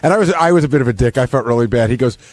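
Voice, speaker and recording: A man's voice: medium pitch, very fast, darkish tone, no echo. A man chatting casually on a radio recap show, telling anecdotes and quoting other people as he goes. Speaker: radio show staffer and commentator.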